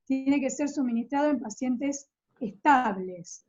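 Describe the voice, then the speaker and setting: High-voiced Argentine woman explaining. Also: measured pace, darkish tone. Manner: patient, detailed explanation. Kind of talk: explaining medication in a video call. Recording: dull lo-fi video call audio.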